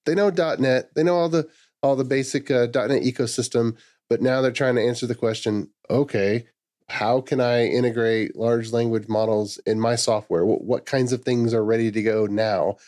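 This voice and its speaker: A man hosting a podcast, asking long, multi-part questions in a relaxed, conversational way. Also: mid-range voice, normal speed. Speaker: podcast host. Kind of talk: casual interview questions.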